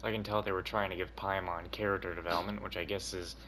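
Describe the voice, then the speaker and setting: A man's voice: low voice, flat delivery, fast. A man doing casual, offhand and rambling playthrough commentary as a gaming streamer.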